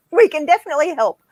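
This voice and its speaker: A woman's voice: very high-pitched, a little mellow, dry recording, clean studio sound. A woman leading a group video chat, prompting others with questions.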